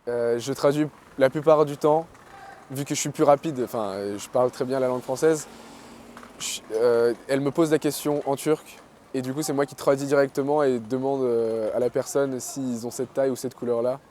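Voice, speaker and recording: Composed, fluent male interviewee, warm low-key tone, faint street hiss behind. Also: steady tone, moderate pace. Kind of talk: documentary interview.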